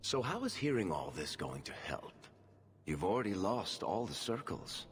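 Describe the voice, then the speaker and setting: An actor playing a wry, cagey dwarf rogue in a fantasy game, talking in a sardonic, offhand way. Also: male voice, low-pitched, big pitch swings, moderate pace. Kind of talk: dramatic fantasy game acting. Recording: long hall reverb.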